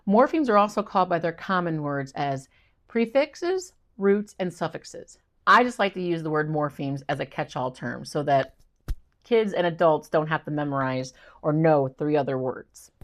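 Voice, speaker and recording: Middle-aged woman reading tutor, low and gravelly, encouraging instructional delivery. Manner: encouraging, acts out student exchanges. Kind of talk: instructional talk to parents and teachers.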